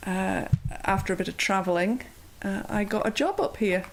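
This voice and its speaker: A woman with a watered-down Yorkshire accent talks easily about her life as an interview guest, her pitch in a middle range. She fills her thoughts with ums and uhs. A faint hiss sits under the recording. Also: moderate pace, gravelly, low-quality recording. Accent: mild Yorkshire. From Yorkshire, England. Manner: chatty, lots of ums and uhs. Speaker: guest, yoga teacher. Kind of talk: casual interview chat.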